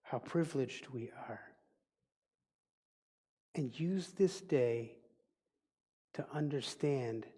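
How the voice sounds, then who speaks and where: A man with a Dutch accent, a native Dutch speaker, giving a reflective sermon as a minister in a deliberate, teaching manner. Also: mid-range voice, warm tone, husky. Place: church sanctuary. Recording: long reverb of a large hall.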